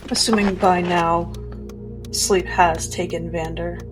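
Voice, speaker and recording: A woman's voice: fairly low pitch, steady tone, slow, over music. A woman playing a tabletop role-playing game, descriptively narrating what her character does.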